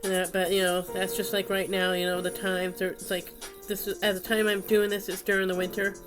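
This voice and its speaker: A woman's voice: mid-range voice, flat delivery, brisk. Stuffy-nosed woman chatting over music. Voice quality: stuffy, congested. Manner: rambling, unscripted narration while working. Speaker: craft video host. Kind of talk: casual crafting chat.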